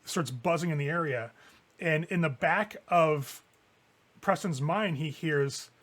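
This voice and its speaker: Male game master, higher register, conversational horror narration for a tabletop game. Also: unhurried, fairly bright tone. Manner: casual, conversational improvised narration with swearing.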